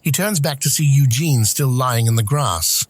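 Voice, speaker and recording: A man's voice: mid-range voice, expressive, normal speed, no room sound. A man narrating scripted stage action as the narrator of an audio drama.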